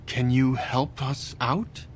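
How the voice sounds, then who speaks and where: A meek, troubled man voiced by an actor in a video game. He speaks very slowly at a middle pitch, hesitant and pleading, over faint ambience. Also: fairly even intonation, slight background noise, close to an everyday voice.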